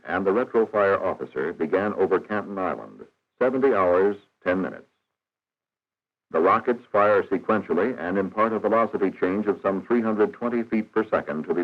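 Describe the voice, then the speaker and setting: Male documentary narrator, very deep and measured, 1960s educational style, narrow lo-fi vintage film sound. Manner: formal, measured, matter-of-fact. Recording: dull, band-limited 1960s film soundtrack.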